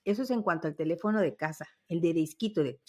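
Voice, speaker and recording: A woman co-hosting a podcast in casual, nostalgic chat, telling anecdotes in an animated way and acting out what people said. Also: lowish voice, quick pace. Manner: animated anecdote-teller who acts out quoted remarks. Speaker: podcast co-host.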